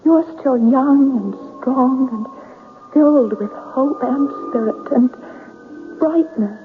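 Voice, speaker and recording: A woman's voice: sits high, slow, music in the background. An actress in a 1970s radio drama playing a sorrowful, hopeless young woman in love, plaintive and hesitant. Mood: mournful, tender. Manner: plaintive, hesitant, breaking phrases. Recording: tinny 1970s radio broadcast.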